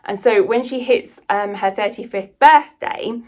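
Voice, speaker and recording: A woman with a mid-pitched voice talks in a casual vlog style. She is chatty and informal, with an enthusiastic way of sharing recommendations as an author and book vlogger. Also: unhurried, darkish tone. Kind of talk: casual book chat to camera.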